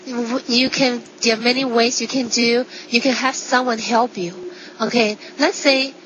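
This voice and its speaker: A woman physician explaining conversationally as a guest, with simple examples and short, halting, non-native phrasing. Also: fairly high pitch, fairly even intonation, a loud crowd. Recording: dull sound, faint background noise.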